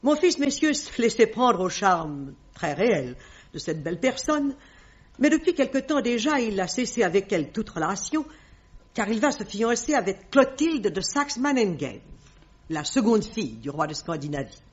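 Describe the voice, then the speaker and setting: A woman's voice: medium pitch, sing-song, natural voice. An actress playing a proud middle-aged queen mother in a French radio drama, speaking formally and haughtily on a dull old recording. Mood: grave, confiding. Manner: haughty, formal, measured diction.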